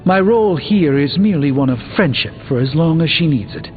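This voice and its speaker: An actor playing a learned, composed sorcerer in a video game, speaking formally and articulately with dry wit. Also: male voice, fairly high pitch, lively intonation, a little fast, narrow, tinny audio, lightly acted. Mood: measured, grave. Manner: articulate, formal, dryly wry. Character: learned, composed, dryly witty adult male sorcerer. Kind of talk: video game character dialogue. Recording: thin band-limited audio under loud music.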